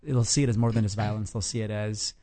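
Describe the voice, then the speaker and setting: A man's voice: on the low side, fairly even intonation, fast, mellow. Easygoing male co-host. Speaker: podcast co-host. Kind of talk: casual news chat.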